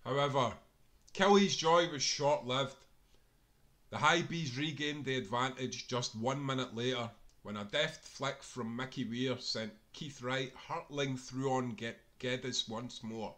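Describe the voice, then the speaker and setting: A man narrates a football book in a bright, forceful voice of average pitch. He reads from a script like a storyteller, leaning on key phrases with dramatic emphasis. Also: a little slow. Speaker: author-reader of a football book.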